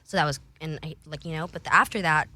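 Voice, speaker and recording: A young woman guest on a casual podcast, telling candid stories in an animated way, swearing freely and often laughing. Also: low-pitched, fast, dry recording.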